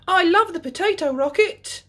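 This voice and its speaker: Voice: very high voice, animated, normal speed, sharp tone, voice pushed up, heavily acted. A woman voicing a cheerful, eager little girl pig in a children's storybook. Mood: excited, gleeful. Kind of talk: children's storybook read aloud.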